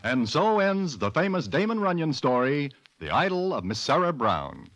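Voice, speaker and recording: A man's voice: fairly high pitch, very animated, normal speed, no room sound. A man reading a scripted announcement in the style of old-time radio. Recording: loud music under the announcer.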